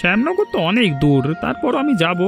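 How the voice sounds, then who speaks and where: A kind, humble young villager voiced by a man talks politely and earnestly in a very high male voice. It is cartoon drama acting with music behind. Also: huge pitch swings, fairly quick, vocal fry, heavily acted.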